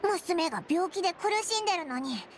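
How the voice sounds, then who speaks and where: とても高い声の小さな妖精. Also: female voice, extremely high pitch, normal speed, background noise. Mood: indignant. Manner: boyish, casual, expressive speech. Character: small floating fairy companion, childlike, curious, blunt, boyish speech. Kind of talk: Japanese anime game dubbing. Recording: music behind the voice.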